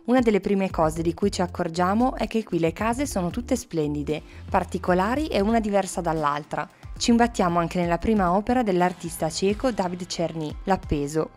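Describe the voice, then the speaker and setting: A woman narrates a travel vlog in a scripted style. Her voice sits a little low for a woman, with soft music behind her. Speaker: travel vlogger, co-host. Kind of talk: scripted travel narration, some casual on-location talk.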